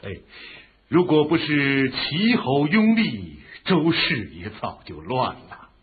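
An actor playing a courteous, ceremonious royal envoy in an ancient Chinese radio drama, with theatrical, drawn-out delivery. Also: male voice, mid-range voice, big pitch swings, husky, full character voice. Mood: grateful, effusive. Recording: dull, lo-fi radio drama recording.

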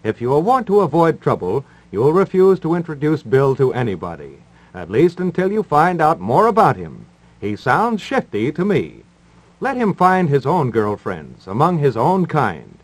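A man hosting and announcing in 1950s radio style, wry and formal, with deadpan delivery. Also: fairly high pitch, normal speed, no room sound, poor audio. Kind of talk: 1950s radio patter, mock-serious advice and live ad reads.

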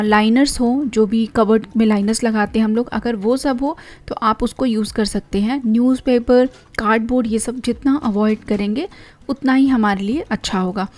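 A woman's voice: a little high, darkish tone. A young Indian woman narrating her home cleaning vlog, chatty and explaining household tips step by step. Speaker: home vlogger.

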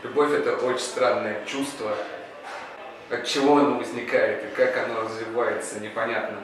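A man's voice: medium pitch, flat delivery, moderate pace, very echoey, recorded from a distance, poor audio. A man in his thirties answering interview questions, earnest and pensive. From Russia. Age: 30s. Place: indoors by a brick wall.

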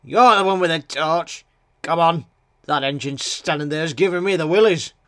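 A man voicing a nervous storybook intruder, snappish and quick to wail in panic. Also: on the high side, pushed and bright, dry recording, studio quality. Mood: edgy, snappish. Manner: exclaiming, snappish, wailing when frightened. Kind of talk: children's storybook character voice.

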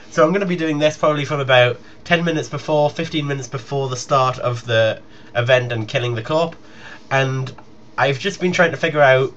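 A man's voice: mid-range voice, fairly bright tone. Young man, muffled noisy recording. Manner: informal, rambling. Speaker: gaming YouTuber. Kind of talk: casual announcement to viewers. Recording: muffled lo-fi home recording with noise.

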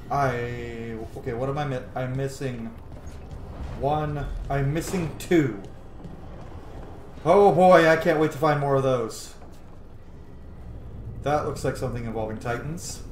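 Slow, roomy-sounding male game streamer. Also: medium pitch, slight background noise. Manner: muttering to himself, sweary. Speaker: gaming streamer. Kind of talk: casual let's-play commentary. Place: small indoor room at home.

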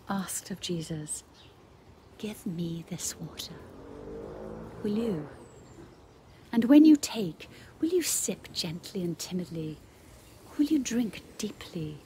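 Slow, soft-toned middle-aged woman. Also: mid-range voice, a little hiss or hum. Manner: measured, earnest, with pauses. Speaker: bishop giving a reflection. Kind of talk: devotional reflection and prayer. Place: outdoors in a garden.

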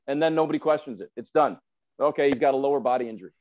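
Fast-talking man, slightly dull call audio. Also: mid-range voice. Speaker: podcast guest, radio play-by-play announcer. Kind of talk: sports discussion. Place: indoors at home, video call.

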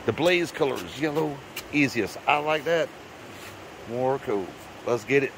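A man's voice: mid-range voice, animated, a little slow, background noise. A middle-aged man filming a hike on a forest trail, joking and cheering on his family as he talks to the camera. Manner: joking, encouraging, talks to the camera while hiking. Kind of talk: casual vlog chat.

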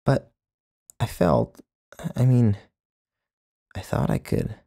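A man's voice: medium pitch, very animated, slow pace, vocal fry, dark tone, pitched above the performer's own voice, full character voice. A man voicing an anxious young man who stammers and pleads, out of breath. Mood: pleading.

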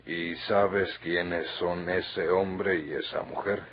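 Deep, husky, grave confidant. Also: male voice, level tone, slow, full character voice. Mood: urgent, calming. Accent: Mexican. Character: grave, steady older male confidant bringing bad news. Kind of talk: old Mexican radio drama acting. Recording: muffled old radio recording.

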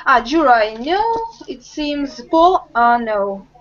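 A young woman teaching an online English lesson, asking questions slowly and patiently over a webcam video call. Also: a little high, animated, a bit bright. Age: young adult. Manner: slow, patient, encouraging questions. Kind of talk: online group language lesson. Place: home room with bookshelves. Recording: muffled video call audio.

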